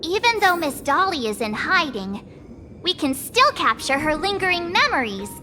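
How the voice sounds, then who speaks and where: A perky, dramatic teenage anime girl from a game. She is very high and girlish, swinging widely in pitch with emphatic determination. Music runs underneath. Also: very animated, moderate pace. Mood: determined. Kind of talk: anime-style game voice acting. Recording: music behind the voice.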